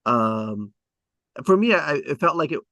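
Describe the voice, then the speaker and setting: A man's voice: on the high side, expressive, a little slow, gravelly, fairly bright tone, dry recording. Casual man thinking aloud, very dry sound. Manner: hesitant, thinking aloud, conversational. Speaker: host of an online script table read. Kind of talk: casual rambling discussion of screenwriting.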